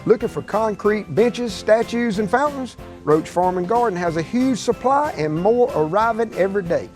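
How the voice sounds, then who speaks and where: A man's voice: high voice, lively intonation, moderate pace, faint music behind. A man reading a scripted local store ad in a folksy way, listing product after product. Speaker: radio-style ad announcer.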